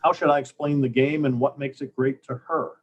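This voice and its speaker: A man's voice: mid-range voice, steady tone, a little fast, breathy edge, highs cut off. An American man hosting and moderating a casual interview conversation.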